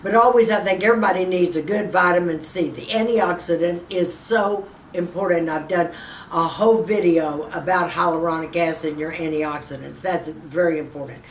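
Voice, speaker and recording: A Texas woman in her fifties chats through a skincare Q&A with a lower voice, calling her viewers girlfriend. She sits back from the mic in an echoey room, with a faint hum underneath. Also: moderate pace, recorded from a distance. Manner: chatty, calls viewers girlfriend. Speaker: skincare vlogger. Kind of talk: casual Q&A to camera.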